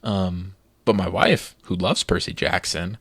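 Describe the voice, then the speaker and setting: Very deep gravelly man chatting. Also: fairly even intonation, measured pace, a bit bright, no room sound. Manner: enthusiastic, rambling with many "like" fillers. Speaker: podcast co-host. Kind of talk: casual chat.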